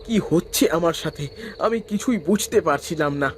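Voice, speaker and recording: A young man tells a cartoon ghost story in the first person, calm and steady, with his voice sitting a little high. A cricket chirps faintly behind him. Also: brisk, a little hiss or hum. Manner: terrified pleading in the scene, calm when narrating. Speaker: narrator and young traveler.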